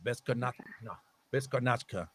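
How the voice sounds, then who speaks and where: A young man co-hosting a casual chat, joking and teasing with loud, animated asides. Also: medium pitch, moderate pace. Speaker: co-host.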